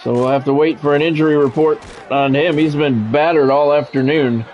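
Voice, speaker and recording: Higher-pitched American man calling football play-by-play. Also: fairly even intonation, fairly quick. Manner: short clipped announcer phrases. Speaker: sports play-by-play commentator. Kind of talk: live play-by-play commentary of a football video game. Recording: dull lo-fi sound, music behind.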